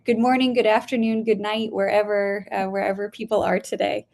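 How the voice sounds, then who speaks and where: Woman from spain, gravelly voice, chatty guest on a video call, lots of ums. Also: medium pitch, flat delivery, fairly quick, a little mellow. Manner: chatty, many ums and restarts, laughs often. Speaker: guest scientist. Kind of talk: casual interview over video call.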